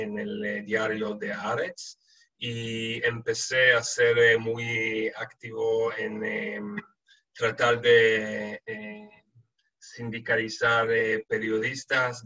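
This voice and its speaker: Israeli guy lecturing slowly, bright voice, lots of eh's, muffled call sound. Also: on the low side, monotone, soft background music. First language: Hebrew. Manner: halting, many hesitant "eh" fillers. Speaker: guest speaker. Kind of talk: online lecture. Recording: lo-fi video call audio.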